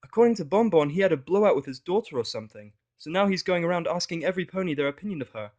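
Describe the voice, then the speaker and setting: A man's voice: sits high, animated, racing, darkish tone, pitched above the performer's own voice. A man voicing a playful, cheeky young female pony in a fan fiction audio story, chatty and teasing. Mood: curious, gossipy.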